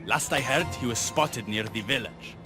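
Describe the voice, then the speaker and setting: A man's voice: medium pitch, moderate pace, natural voice. An actor playing a passionate, embittered young rebel man in a video game, with intense, dramatic delivery. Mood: grim. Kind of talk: video game cutscene acting. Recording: music faintly behind the voice.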